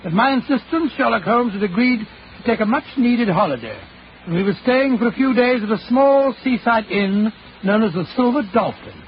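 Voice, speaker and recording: Older English gentleman storyteller, high voice, genial, deliberate, muffled vintage radio drama. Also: expressive. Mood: grave storytelling. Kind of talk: 1940s radio acting. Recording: muffled old radio broadcast, hissy.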